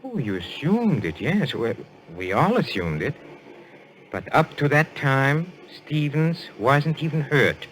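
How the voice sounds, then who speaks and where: An actor playing a suave, assured detective in a radio mystery, speaking in a courtly, deliberate way. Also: male voice, a little high, sing-song, fairly bright tone, exaggerated voice, rough recording. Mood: grave, revealing. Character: suave, assured French detective, male, posing as a medium. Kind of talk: old-time radio mystery acting.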